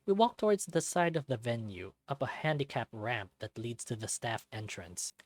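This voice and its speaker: A man reading a visual novel aloud as expressive first-person scripted narration. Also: medium pitch, moderate pace.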